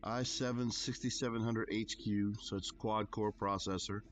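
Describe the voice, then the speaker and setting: A man with a deep voice, casually chatting to viewers as a livestreamer in an easygoing, rambling way. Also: fairly even intonation, moderate pace. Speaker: game livestreamer. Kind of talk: casual chat with stream viewers. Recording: music behind. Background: clear background music.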